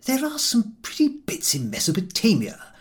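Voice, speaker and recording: A man's voice: very high voice, wildly swooping pitch, moderate pace, pitched above the performer's own voice, exaggerated voice. A man voicing a stubborn, dryly grumbling married man in an audiobook, speaking firmly and bluntly. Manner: emphatic, blunt.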